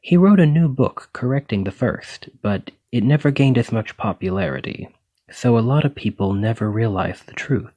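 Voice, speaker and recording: A man playing a gentle, bookish dragon-blooded librarian and devoted boyfriend in an ASMR roleplay, speaking in an earnest, reassuring way. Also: medium pitch, expressive, normal speed, natural voice. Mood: earnest, explanatory.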